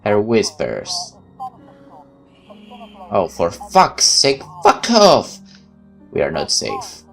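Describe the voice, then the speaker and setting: A man's voice: on the low side, wildly swooping pitch, very slow, gravelly, a bit bright, soft background music. A man hosting a gaming video, reading visual novel text aloud and adding casual commentary.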